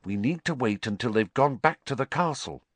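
Man voicing an anxious, hushed young girl. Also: medium pitch, expressive, moderate pace, dry recording. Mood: shaken, planning. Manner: hushed, urgent. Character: anxious, urgent young girl, cautious and bossy.

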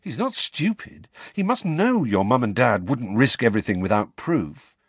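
A man's voice: mid-range voice, big pitch swings, moderate pace, slightly rough, no echo, pitched above the performer's own voice. A man reading an audiobook, voicing a teenage boy who sounds insistent, defensive and heated. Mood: indignant. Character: indignant teenage wizard boy. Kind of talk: audiobook character voice.

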